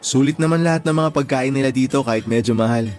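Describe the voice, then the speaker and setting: An actor playing an attentive, easygoing young Filipino boyfriend in a radio drama. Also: male voice, mid-range voice, quick pace, darkish tone, faint music behind, lightly acted. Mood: reassuring.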